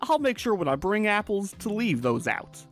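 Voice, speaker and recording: A woman's voice: mid-range voice, sing-song, normal speed, faint music behind. A woman playing a plain-spoken, no-nonsense folksy mare in a cartoon comic dub. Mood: friendly, curious.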